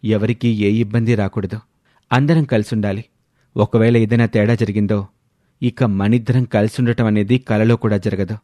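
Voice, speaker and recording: A man's voice: on the low side, fairly even intonation, normal speed, dark tone, dry recording, voice pushed down, close to an everyday voice. A man voicing an earnest, firm grown son and husband in a family storybook tale.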